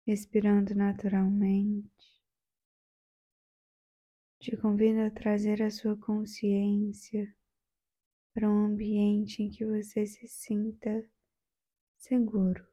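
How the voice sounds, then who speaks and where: Very mellow, slow Brazilian woman guiding meditation. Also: mid-range voice, monotone. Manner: soft, soothing, gentle delivery with long pauses. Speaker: meditation guide. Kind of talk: guided meditation.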